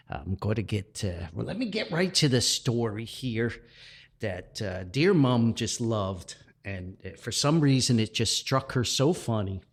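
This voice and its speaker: Animated folksy man storytelling. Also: fairly low pitch. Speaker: podcast host, author. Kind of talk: podcast talk and story reading.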